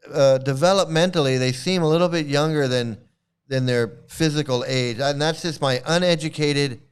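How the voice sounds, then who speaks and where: Reaction host, male, somewhat high and smooth voice, laughs a lot and circles back on his own words. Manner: laughs often, repeats phrases, stammering restarts. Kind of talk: casual reaction commentary.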